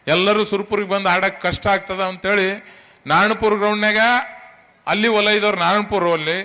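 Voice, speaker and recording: A man's voice: high-pitched, steady tone, brisk, sharp tone. A middle-aged man with a North Karnataka accent giving an emphatic, colloquial public speech as an event organiser, addressing his listeners directly. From Karnataka, India. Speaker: tournament organiser.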